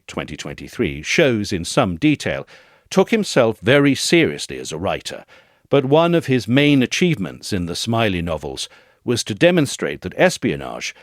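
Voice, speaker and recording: Male essay narrator: low pitch, lively intonation, measured literary read, articulate, very dry close sound. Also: no echo. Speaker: narrator reading an essay aloud.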